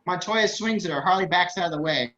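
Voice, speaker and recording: Bright, higher-voiced man narrating a script. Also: a little fast. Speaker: stage-direction narrator. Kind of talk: scripted narration, table read. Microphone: computer on a video call. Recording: dull, lo-fi video-call audio.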